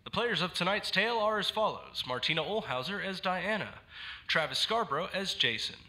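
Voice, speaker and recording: A man's voice: high voice, animated, a little fast, pushed and bright, rough recording. A man announcing scripted credits in a formal, theatrical way.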